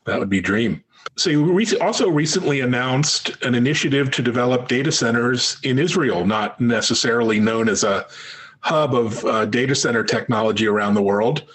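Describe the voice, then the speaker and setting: A man's voice: mid-range voice, moderate pace, fairly bright tone. A man working as an interviewer, asking questions in a conversational style.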